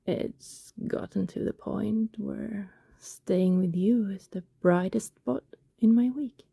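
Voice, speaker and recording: Breathy, whispery, tender vampire woman, acted by a woman. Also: mid-range voice, fairly even intonation, takes it slowly, dry recording, studio quality, full character voice. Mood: confiding, affectionate. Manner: soft, breathy, whispery, hesitant confiding delivery. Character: affectionate female vampire neighbor, guilty, tender and reassuring. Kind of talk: intimate ASMR roleplay acting.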